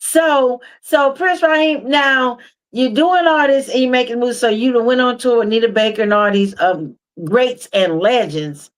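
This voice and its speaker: An American woman co-hosting and casually putting questions to a guest, rephrasing as she goes in a halting way. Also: fairly high pitch, low-quality recording. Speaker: co-host interviewer. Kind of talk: casual interviewing.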